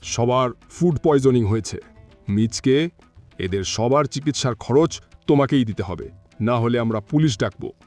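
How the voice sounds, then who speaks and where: A man's voice: mid-range voice, lively intonation, normal speed, a little mellow, faint music behind, lightly acted. A man voicing a stern, demanding villager in a comic cartoon, speaking firmly and with threat.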